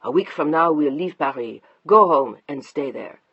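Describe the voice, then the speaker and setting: A narrator voicing a middle-aged husband who speaks low, calm and unyielding, in a slightly muffled dry recording. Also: male voice, normal speed, husky, no room sound. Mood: steely calm. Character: stern controlling husband, middle-aged, quiet and steely.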